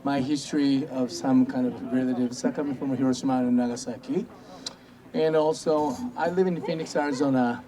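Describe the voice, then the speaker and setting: A man from Japan with a Japanese accent, speaking informally to an audience in halting, non-native English. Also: medium pitch, fairly even intonation, a crowd in the background. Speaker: singer introducing a song. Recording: live event, faint ambient noise. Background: faint ambient noise.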